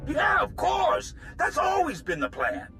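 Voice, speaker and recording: A man's voice: very high-pitched, huge pitch swings, a little slow, full character voice. A man voicing a greedy, double-dealing profiteer in a video game, flustered and wheedling as he makes excuses. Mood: eager, nervous. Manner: flustered, wheedling, over-eager. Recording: music under the voice.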